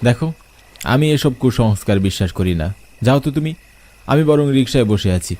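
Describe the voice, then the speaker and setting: A young man narrating a first-person horror story, expressive and asking questions as he goes. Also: mid-range voice, moderate pace.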